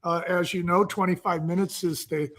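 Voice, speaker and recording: A man's voice: high voice, little pitch movement, moderate pace, fairly bright tone. A man interviewed as an entrepreneur guest, explaining a process step by step in conversation with homely examples.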